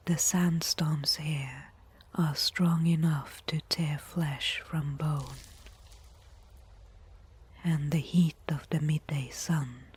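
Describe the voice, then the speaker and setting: A woman acts out a disdainful desert tribeswoman, a reluctant nurse in an ASMR roleplay. Her whisper is extremely husky and breathy, low and very unhurried. She phrases things formally, with stern pride. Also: a little mellow, heavily acted. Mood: proud, solemn. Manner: slow, measured, formal phrasing.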